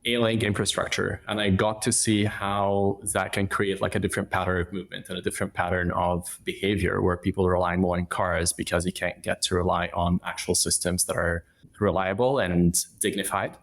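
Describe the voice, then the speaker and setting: A man speaks in a low, gravelly voice, walking the listener through his ideas as a guest on a podcast. His answers come measured and explanatory, building each thought step by step. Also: flat delivery. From Egypt. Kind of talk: conversational interview answers.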